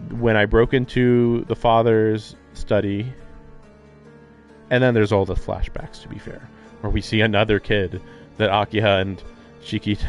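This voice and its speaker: A man giving casual live-stream commentary on a game, thinking aloud in halting, musing fragments. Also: lowish voice. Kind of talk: casual live commentary on a visual novel playthrough. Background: music.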